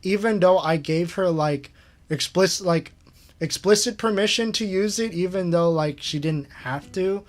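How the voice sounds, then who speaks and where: A man's voice: high-pitched, moderate pace. A man hosting a casual screen-share retrospective, rambling with lots of fillers and chuckling at himself. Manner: rambling, filler-heavy, self-amused. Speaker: channel host.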